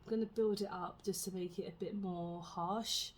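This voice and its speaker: A British woman chatting casually through a makeup tutorial at home, her voice a little husky, rambling with many "um"s and "like"s. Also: medium pitch, fairly even intonation, normal speed, slight background noise. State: slightly husky from illness. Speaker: beauty vlogger.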